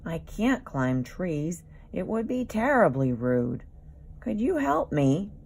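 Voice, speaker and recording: Woman as a moaning, lazy Lystrosaurus, slow and loud. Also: fairly low pitch, big pitch swings, gravelly, a little hiss or hum, exaggerated voice. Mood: miserable, pleading.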